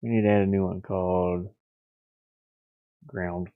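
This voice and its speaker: A man thinking aloud on a casual livestream as he works, muttering in a halting, absent-minded way. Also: deep voice, level tone. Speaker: livestreamer, hobby game developer. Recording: boxy echo, thin phone-like sound.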